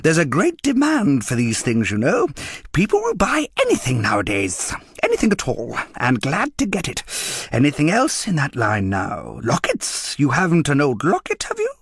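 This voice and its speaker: A man's voice: a little high, huge pitch swings, normal speed, slightly rough, dry recording, clean studio sound, pitched above the performer's own voice, full character voice. A man voicing an old door-to-door junk buyer in a story, with coaxing, sibilant, sing-song patter. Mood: coaxing, eager.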